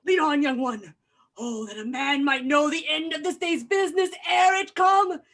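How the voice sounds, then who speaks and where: A woman's voice: high voice, normal speed, a bit bright. A woman playing a grave, resolute doctor in a Shakespearean staged reading, speaking in solemn, declamatory verse.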